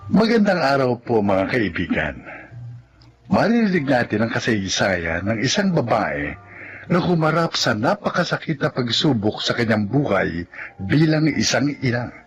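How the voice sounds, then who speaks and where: A man's voice: mid-range voice, very animated, moderate pace, music in the background. A man hosting a radio drama with a solemn, theatrical scripted introduction.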